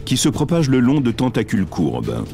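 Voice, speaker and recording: A man giving scripted documentary narration in a measured, explanatory way. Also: medium pitch, huge pitch swings. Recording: dubbed voice-over with music behind. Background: music.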